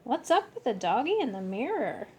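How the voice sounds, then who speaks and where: A woman voicing an excitable young boy kitten who fancies himself a chihuahua and sprinkles his talk with Spanish words. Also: a little high, normal speed. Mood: excited, boastful. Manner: exclamatory, peppering speech with Spanish words.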